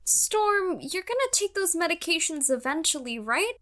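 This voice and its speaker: High, bright-voiced polite young patient. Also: female voice, animated, normal speed, heavily acted. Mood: polite, concerned. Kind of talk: audio drama acting.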